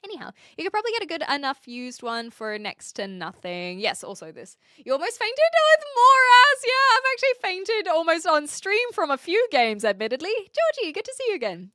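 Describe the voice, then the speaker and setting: Bright, chatty young woman streamer. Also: extremely high pitch, big pitch swings, normal speed, hardly any pauses, dry recording, studio quality. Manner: chatty, rambling, giggly. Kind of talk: casual stream chat reading viewer comments.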